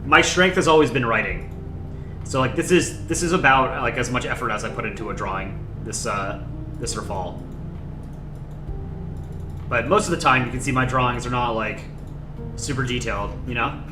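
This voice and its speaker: A young man hosting a casual livestream, chatting in a relaxed, rambling way and thanking viewers by name. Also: mid-range voice, moderate pace, long pauses, reverberant, over music. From United States. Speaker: livestream host. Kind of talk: casual chat.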